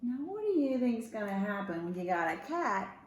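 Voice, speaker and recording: A woman's voice: medium pitch, sing-song, normal speed. An American woman reading a picture book aloud to children as a school media specialist, with conversational explanatory asides. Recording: roomy echo.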